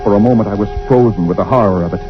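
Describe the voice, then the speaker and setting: A frightened young husband, played by a man, recounting a night of horror in an old radio play. His voice sits very low and tight with dread, catching on repeated words. The broadcast sounds dull and old, with loud music underneath. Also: rapid-fire, close to an everyday voice. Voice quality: deep, tense voice with nervous stammers. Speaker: narrator and lead character. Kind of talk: 1940s radio drama acting.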